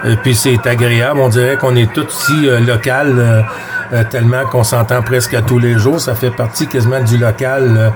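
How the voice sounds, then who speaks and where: A man's voice: medium pitch, steady tone, moderate pace. A man with a Quebec French accent, chatting casually and hesitantly as a CB radio operator at home. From Quebec, Canada. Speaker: CB radio operator and video host. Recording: clearly noisy home radio-room recording.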